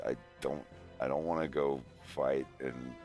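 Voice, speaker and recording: A man's voice: extremely low pitch, fairly even intonation, a little slow, darkish tone, faint music behind. An American man streaming from home, rambling in casual chat and peppering his talk with "like", "dude" and swearing. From United States.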